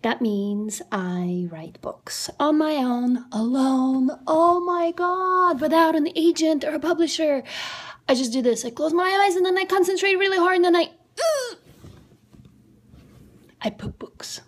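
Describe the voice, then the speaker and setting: A woman in her thirties with a Russian accent, talking straight to a close camera at home in a goofy, theatrical vlog that swings from whispers to excited squeals. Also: high voice, measured pace. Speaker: indie writer and vlogger. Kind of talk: playful casual vlog to camera. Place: small room indoors at home. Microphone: phone or webcam close to the face.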